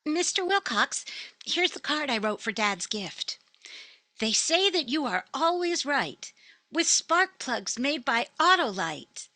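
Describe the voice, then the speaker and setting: A woman playing a perky, sweet character in a 1950s radio commercial, speaking in a deliberate singsong. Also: sits high, sing-song, bright, forceful tone, no echo, exaggerated voice.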